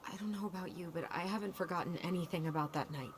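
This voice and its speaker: A woman's voice: on the low side, fast, heavy background noise, close to an everyday voice. An actress playing a guarded, reluctant young woman, hurt and wary, in a naturalistic video game cutscene. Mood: wary, puzzled. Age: young adult.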